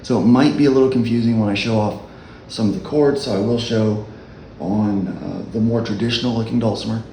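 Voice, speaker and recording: A man with a low voice giving a relaxed, halting dulcimer lesson as a worship leader. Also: reverberant, slight background noise, low-quality recording. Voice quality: low speaking voice. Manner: unhurried, halting explanation. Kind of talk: casual music tutorial.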